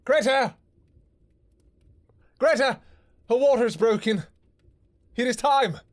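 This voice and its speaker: A man playing an anguished, devoted vampire king in a fantasy romance audio roleplay, speaking in halting, pleading, formal old-fashioned phrases. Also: very high voice, no room sound. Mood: panicked, urgent. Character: anguished, devoted vampire king, obsessive lover and new father.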